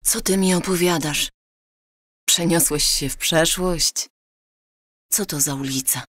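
An actress plays a curious, sleepy woman in an intimate radio-play dialogue. Her voice is very low and husky, with slow, questioning lines. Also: a bit bright, no echo, studio quality, heavily acted. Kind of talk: audio drama dialogue.

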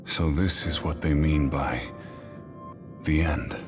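Very deep, brooding anime antagonist. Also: male voice, steady tone, music in the background. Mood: grim, resigned. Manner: slow, brooding, terse. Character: grim, weary adult male antagonist granting a wish. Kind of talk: English anime game dub acting.

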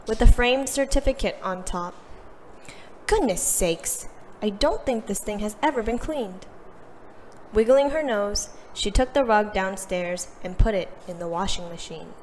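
A woman with a mid-range voice reads a children's storybook aloud. Her delivery is expressive, with the characters' lines acted out. Some noise sits behind her voice. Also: mid-range voice, in a large hall. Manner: animated delivery, acting out the characters' quoted lines. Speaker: storybook reader. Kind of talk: expressive read-aloud of a children's picture book. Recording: clearly noisy background.

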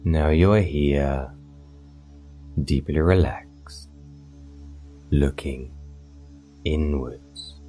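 A man narrating a scripted guided meditation in a soothing, hypnotic, measured way with long pauses. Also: rumbling low. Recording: voice over meditation music. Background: soft meditation music.

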